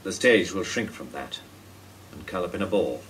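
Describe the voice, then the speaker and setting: An actor playing a brooding, dignified ageing playwright in a radio play, speaking in a grave, formal, oracular way. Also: male voice, low-pitched, expressive, moderate pace, a bit bright, a little hiss or hum, poor audio. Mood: grave, resistant. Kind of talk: broadcast radio play acting.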